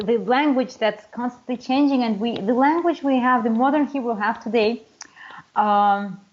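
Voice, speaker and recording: A woman's voice: a little high, muffled recording. A woman, a Hebrew teacher answering interview questions hesitantly with many restarts. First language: Russian. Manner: hesitant, many restarts and fillers, laughs easily.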